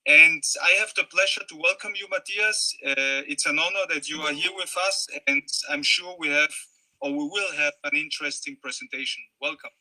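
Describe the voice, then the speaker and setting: A male host opens an online show and welcomes a guest in a formal manner, with a few fillers along the way. His voice is slightly high and very bright, heard through a video call mic. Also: normal speed, rough recording.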